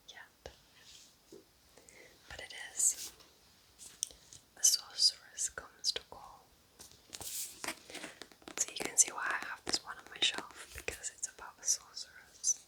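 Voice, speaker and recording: A woman whispering softly in a gentle, unhurried and chatty ASMR style, recommending books as in a cosy roleplay. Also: rough recording. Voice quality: soft whisper. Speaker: ASMR host playing a kind witch recommending books.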